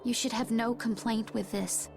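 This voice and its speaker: A woman playing a composed, resolute young warrior woman in a video game, speaking in a poised, measured, earnest way. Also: fairly high pitch, fairly even intonation, creaky, darkish tone, soft background music. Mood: earnest resolve.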